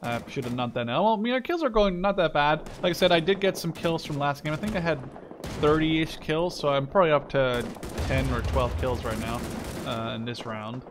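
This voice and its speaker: A man's voice: fairly high pitch, a little fast. A young man giving casual, rambling commentary while playing a shooter game, swearing now and then. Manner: rambling, swears when killed. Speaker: gameplay commentator. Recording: game gunfire noise behind voice. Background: loud gunfire and artillery from the game.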